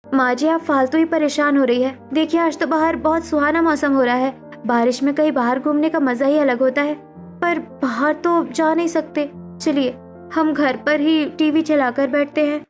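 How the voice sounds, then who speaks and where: Determined young daughter-in-law for a cartoon drama, played by a woman: high voice, urgent, caring, music underneath. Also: steady tone, normal speed, natural voice. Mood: concerned. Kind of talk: dramatic Hindi cartoon story acting.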